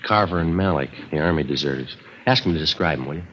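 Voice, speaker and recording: Low, quick, clipped American detective. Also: male voice, a little hiss or hum. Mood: businesslike, probing. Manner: clipped, deadpan. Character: terse, businesslike male police detective who also narrates. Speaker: radio drama actor, lead police detective and narrator. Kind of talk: 1950s radio drama acting. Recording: dull vintage radio recording, music.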